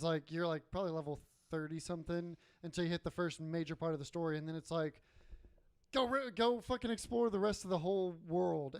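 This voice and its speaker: A man's voice: a little high, darkish tone, clear, resonant tone, no echo. A man co-hosting a casual gaming podcast, explaining things informally with some swearing. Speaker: podcast co-host.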